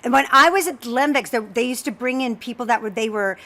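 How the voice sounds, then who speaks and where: A woman's voice: fairly high pitch, brisk, bright, forceful tone. A woman hosting a casual podcast interview, chatting in an effusive, gushing way. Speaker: podcast host.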